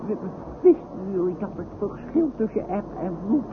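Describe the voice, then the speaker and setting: A man's voice: high-pitched, expressive, normal speed. An actor playing a forgetful, dithering old father in a radio comedy drama, stammering and repeating himself. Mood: eager. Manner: stammering, repetitive, muddled. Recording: noisy muffled old radio play recording.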